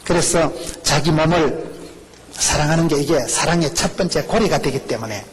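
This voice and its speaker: A middle-aged male pastor with a Gyeongsang accent, preaching a lively, joking sermon full of rhetorical questions. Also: fairly high pitch, normal speed, background noise. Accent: Gyeongsang Korean. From rural South Korea. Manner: animated, jokey preaching with rhetorical questions and laughs.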